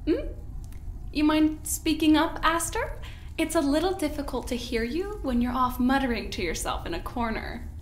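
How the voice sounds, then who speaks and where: A woman plays a haughty scientist from an audio drama, mock-polite and quietly menacing. The voice sits fairly high and is theatrical, with clear room echo and faint noise behind it. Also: animated, moderate pace. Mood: condescending. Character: haughty, smoothly menacing scientist in charge of experiments.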